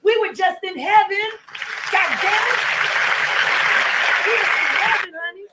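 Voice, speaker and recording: A woman in a livestream clip with a big, brash voice who swears and talks loudly. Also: extremely high pitch, unhurried, over a noisy crowd, very noisy. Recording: echoey room.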